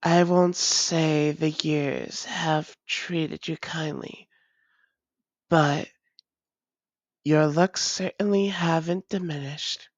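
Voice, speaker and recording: A tender vampire woman, acted by a female performer speaks to her long-lost love in an intimate audio roleplay. Her voice is low and very slow, with old-fashioned, formal wording that often softens toward whispering. The recording is a little dull and muffled. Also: steady tone, no room sound. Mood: tender, wistful.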